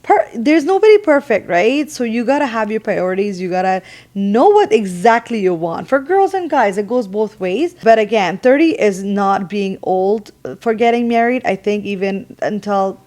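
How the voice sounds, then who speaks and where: Una mujer de treinta y tantos, conversadora y risueña, charlando con naturalidad como copresentadora de pódcast. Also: female voice, mid-range voice, expressive, moderate pace, darkish tone. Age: 30s. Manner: chatty, agreeable, laughing. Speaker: podcast co-host. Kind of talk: casual chat.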